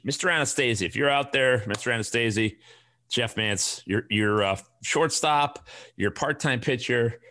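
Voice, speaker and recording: A man's voice: on the low side, sharp tone. A middle-aged man with a Chicago accent, hosting a casual solo podcast and ranting in a blunt, profane, rambling way. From Chicago area. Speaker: podcast host.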